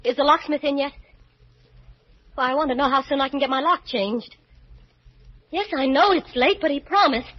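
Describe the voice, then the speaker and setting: An actress playing a frightened married woman who snaps when cornered, breathless and halting in old-time radio thriller style. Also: high-pitched, natural voice. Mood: flustered, anxious. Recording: muffled vintage radio recording.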